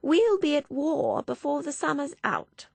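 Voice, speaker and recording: A woman voicing a pompous elderly aristocratic lady who makes slow, doom-laden pronouncements. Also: high-pitched, animated, gravelly, no room sound, pitched above the performer's own voice, heavily acted. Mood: ominous.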